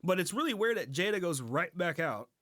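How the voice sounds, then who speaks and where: A man co-hosting a podcast, chatting informally in a halting, conversational way. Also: sits high, big pitch swings, a bit bright, dry recording, clean studio sound. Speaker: podcast co-host. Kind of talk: casual podcast chat. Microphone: close podcast mic on remote recording.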